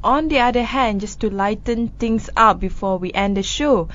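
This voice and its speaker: A woman's voice: medium pitch, normal speed, a bit bright. Woman co-host chatting thru the news, laughs a lot, kinda dull lo-fi sound. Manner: chatty, laughs often between news items. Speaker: co-host of a news discussion show. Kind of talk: casual news discussion.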